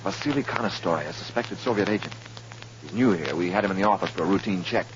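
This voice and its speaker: FBI handler, played by an actor: man, rapid, clipped, low-pitched, noisy old radio drama recording. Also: lo-fi sound, close to an everyday voice. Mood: urgent, wary. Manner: clipped, urgent. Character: brisk, businesslike male FBI handler. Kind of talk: 1950s radio drama acting.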